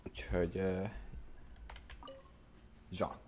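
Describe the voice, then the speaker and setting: A man with a low voice, a native Hungarian speaker, chats casually on a game stream. He hesitates and thinks aloud, with faint noise and keyboard typing behind him. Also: completely flat delivery, slow pace, darkish tone. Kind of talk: casual livestream chat.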